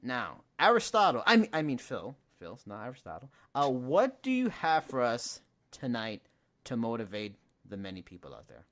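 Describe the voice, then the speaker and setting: A man's voice: mid-range voice, wildly swooping pitch, moderate pace, a bit bright. A man hosting a sports and fitness podcast, upbeat and jokey, laughing often as he talks. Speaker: podcast host. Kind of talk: casual podcast chat.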